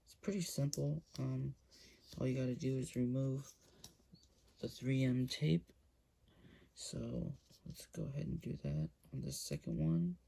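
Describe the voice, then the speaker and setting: A man casually talking viewers through a hands-on gear install, relaxed and hesitant with frequent fillers. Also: medium pitch, unhurried, gravelly, husky. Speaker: YouTube motorcycle gear reviewer. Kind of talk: casual step-by-step install walkthrough.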